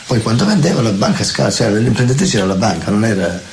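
An older man talks quickly and a little low, rambling through memories in a run of lists. The recording is noisy, with clicks behind him. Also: big pitch swings. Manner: rambling, listing places and steps. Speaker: interviewee. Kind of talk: recounting his past.

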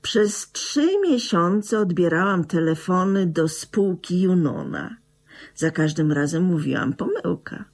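An actress in a radio drama playing a bitter, resentful middle-aged widow, reproachful and emotionally swinging, with a husky, creaky voice. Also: female voice, fairly low pitch, animated, slow pace, darkish tone, heavily acted. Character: bitter, resentful widow talking to her dead husband.

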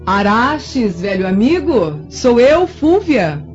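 A woman's voice: on the high side, very animated, slow pace. An actress playing a scheming, haughty middle-aged Roman mother in a radio drama, dramatic and insinuating in delivery. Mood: hushed, familiar. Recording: dull lo-fi radio drama, music behind.

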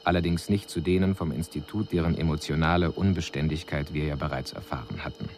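A detached alien observer delivering a formal radio drama report. The voice is very low, measured and clinical, analytical in every phrase. A faint hiss lies on the recording. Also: male voice, a little mellow. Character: detached male extraterrestrial envoy reporting on humans, analytical.

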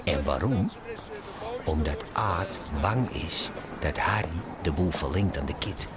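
Crime serial narrator, deep male voice, scripted recap delivery, loud music underneath. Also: animated, takes it slowly. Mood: dramatic, suspenseful.

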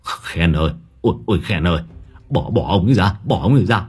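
A man voicing a bumbling male exorcist who whispers low and stammers in panic. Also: animated, fairly quick, darkish tone, slightly rough, full character voice. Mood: frantic pleading.

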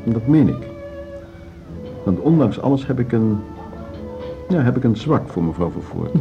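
A man's voice: mid-range voice, big pitch swings, moderate pace, vocal fry. An actor playing a wistful, courteous older man who loves music, in a Dutch radio drama with a Netherlands accent. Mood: sincere, worried. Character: Gijs, wistful, courteous ageing music lover, male. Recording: dull radio play, loud violin music. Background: bowed string music.

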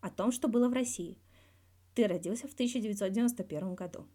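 A woman voicing a calm male interviewer who asks direct, brief questions in a scripted fan fiction audio reading. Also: medium pitch, fast, lightly acted. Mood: probing.

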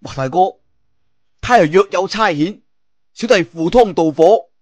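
A Cantonese man voicing an impetuous, fervent young martial-arts hero in an emphatic, excitable way for a storybook. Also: sits high, a little slow, no room sound, voice pushed up. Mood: stirred, devoted.

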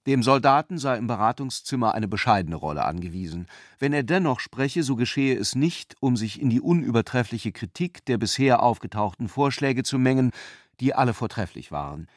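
A man narrates a literary radio drama in a middle-range voice. His delivery is formal and measured, recorded dry with hardly any room around it. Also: mid-range voice, no room sound. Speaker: narrator of a radio play adaptation.

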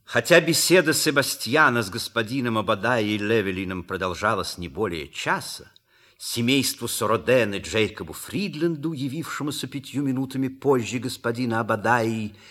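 A man delivers scripted narration for an audio play. His husky voice has a wide, lively melody that sets up each scene. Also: mid-range voice, normal speed.

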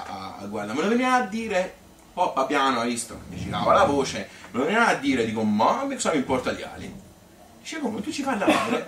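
A man's voice: medium pitch, fairly bright tone. A man playing an old Tuscan grandmother who reminisces in a rambling, colloquial monologue, chatty, feisty and devoted to her husband. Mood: feisty, amused. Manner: rambling, colloquial, repetitive, with little interjections. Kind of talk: spontaneous-sounding theatrical monologue. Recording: echoey, distant, noisy stage recording.

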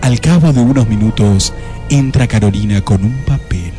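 An actor playing the wry, deadpan narrator of a comic detective radio play. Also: male voice, on the low side, moderate pace, soft background music, lightly acted. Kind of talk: scripted comic noir narration.

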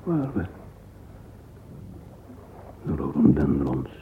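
An actor playing a wistful middle-aged man lost in thought, murmuring a halting interior monologue in a radio play. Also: extremely low pitch, big pitch swings, full character voice. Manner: murmuring, halting, fragmentary musing, sometimes whispered. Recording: narrow-band lo-fi old radio recording, hissy.

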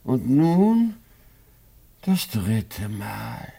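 An actor playing a cunning, lecherous old miser who feigns sickness, drawn-out and wheedling, in a stage comedy. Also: male voice, medium pitch, sing-song, gravelly, dark tone, breathy edge, full character voice. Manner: drawn-out, wheedling, theatrically feeble. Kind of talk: classic stage comedy radio play.

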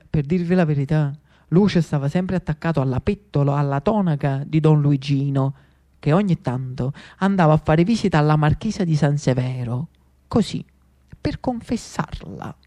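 A man's voice: on the high side, warm tone. Neapolitan man, dramatic stage storyteller. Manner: emphatic, drawn-out words, rhetorical pauses. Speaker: actor and storyteller. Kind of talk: dramatic theatrical narration.

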